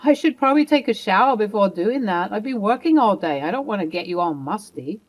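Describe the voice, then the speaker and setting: A woman's voice: medium pitch, lively intonation, fairly quick, close to an everyday voice. A woman voicing a cheating adult husband who stammers and pleads evasively.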